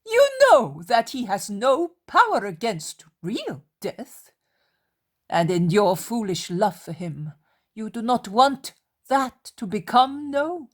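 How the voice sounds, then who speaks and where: A woman's voice: fairly high pitch, big pitch swings, slow, full character voice. A woman voicing a contemptuous male Herodian who mocks and sneers. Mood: mocking. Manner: mocking, sneering.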